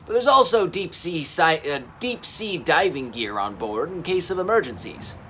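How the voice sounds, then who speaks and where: A man plays a helpful dinosaur boss from a children's storybook. He explains things emphatically, in a raised male voice with lively swings. Some noise sits behind him. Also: a little high, moderate pace, voice pushed up. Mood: helpful, emphatic.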